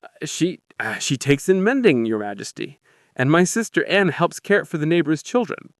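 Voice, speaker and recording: A man's voice: on the high side, big pitch swings, normal speed, dry recording, studio quality. A man voicing a humble, earnest, anxious peasant who speaks hesitantly and deferentially, with stammers, in an audiobook story. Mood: earnest, nervous.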